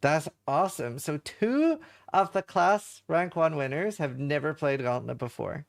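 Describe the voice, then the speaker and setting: A man's voice: on the high side, lively intonation, a little slow, vocal fry, dry recording, studio quality. A man hosting a casual post-show interview, asking upbeat questions with many fillers. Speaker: show host.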